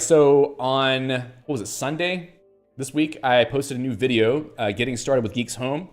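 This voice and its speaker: A man hosting a casual livestream, chatting in a rambling way with fillers and restarts and reading out viewer comments. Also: medium pitch. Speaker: livestream host.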